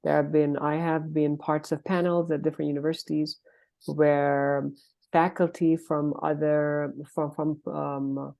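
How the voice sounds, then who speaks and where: A woman with a very low voice and a gravelly creak, soft-edged in tone. She explains things as a professor being interviewed, carefully and haltingly, often repeating a word while she finds the phrasing. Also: level tone. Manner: hesitant, careful, often repeating and rephrasing words. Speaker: linguistics professor, interview guest.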